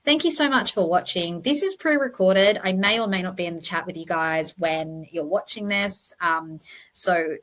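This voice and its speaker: Female host, true crime commentary. Slightly low voice, slight lisp, earnest and conversational delivery. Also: normal speed, fairly bright tone. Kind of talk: informal explanatory monologue.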